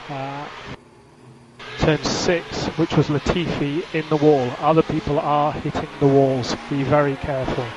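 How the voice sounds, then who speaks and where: A man working as a race engineer, giving clipped, businesslike instructions over team radio and repeating key calls. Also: fairly high pitch. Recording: very noisy radio link, engine noise. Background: vehicle noise.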